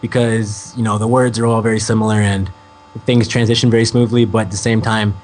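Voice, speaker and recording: A young American man and musician, a guest in an interview, describing his past in a relaxed, rambling way with many ums. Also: on the low side, brisk, a little hiss or hum, low-quality recording. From United States. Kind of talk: casual interview talk.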